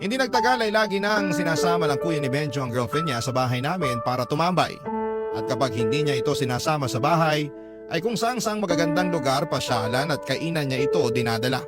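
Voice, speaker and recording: Man voicing a higher-pitched, jealous letter sender. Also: lively intonation, brisk, natural voice. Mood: confiding. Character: jealous, aggrieved letter sender confessing a forbidden crush. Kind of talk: first-person radio drama letter narration. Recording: loud music under the voice.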